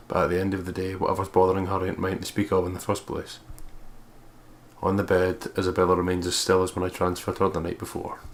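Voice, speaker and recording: A man's voice: low voice, slight background noise. A man reading visual novel narration aloud as a let's play host.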